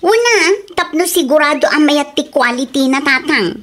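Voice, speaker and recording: A woman's voice: high-pitched, lively intonation, normal speed, fairly bright tone. A woman with an Ilocano accent playing a young wife who likes expensive things, talking in a defensive, insistent way. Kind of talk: radio drama acting.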